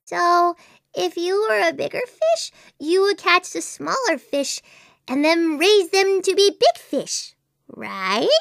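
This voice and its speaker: A woman's voice: extremely high pitch, big pitch swings, slow pace, gravelly, a bit bright, no echo, studio quality, full character voice. A woman voicing an imaginative, anxious little girl in a video game, with an earnest, dramatic, childlike delivery. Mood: chatty, earnest. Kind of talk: video game character voice acting.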